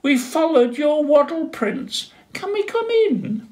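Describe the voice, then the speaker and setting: Eager little storybook penguins with very high, squeaky voices, begging to be let in, voiced by a man reading aloud. Their lines are bright and pleading. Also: expressive, slow, full character voice.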